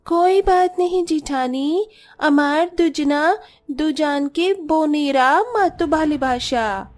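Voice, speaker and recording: A woman's voice: high-pitched, takes it slowly, darkish tone, faint music behind, voice pushed up. A woman voicing a sweet, polite young Bengali bride with a Bengali accent in a cartoon story. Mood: placating.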